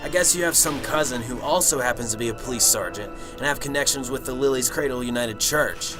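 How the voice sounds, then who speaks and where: A man's voice: medium pitch, a little fast, music in the background, natural voice. Man acting a dry, cynical, world-weary detective. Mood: accusing. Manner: dry, sardonic. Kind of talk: amateur radio drama acting and first-person narration.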